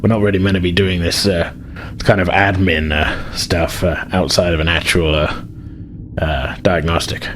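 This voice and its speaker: A man's voice: deep voice, flat delivery, moderate pace, fairly bright tone, a little hiss or hum. A man playing a shifty, cautious starship officer in a tabletop game, hesitant and conspiratorial. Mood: furtive, cautious.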